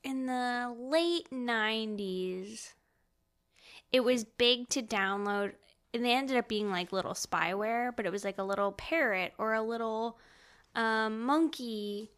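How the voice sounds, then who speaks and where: A woman's voice: on the high side, slow, fairly bright tone, clear, resonant tone. A woman podcast host chatting casually as she retells a novel in an informal, conversational way. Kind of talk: casual chat recapping a novel.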